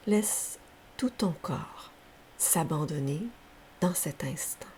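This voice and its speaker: A woman leading a guided yoga nidra relaxation as a teacher, speaking softly and breathily in gentle, unhurried, soothing instructions. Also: low voice, whispered. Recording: faint steady background hiss.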